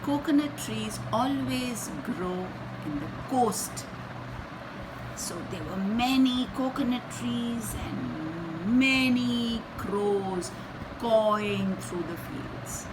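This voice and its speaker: A woman reading a picture book aloud to young children, expressively, pausing to ask them simple questions and explain things. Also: a little high. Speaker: children's storyteller and channel host. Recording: clear background noise.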